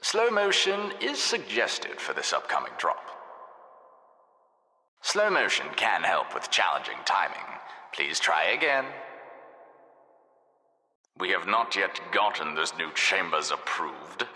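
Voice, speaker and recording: Smug, sadistic lab overseer, wildly swooping. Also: male voice, sits low, slow, long pauses, full character voice. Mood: mock-helpful. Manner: mock-polite, sarcastic, theatrically expressive. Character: smug, sadistic male test-lab overseer taunting his subject. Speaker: game narrator. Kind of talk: scripted video game narration. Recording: echoey, reverberant hall sound.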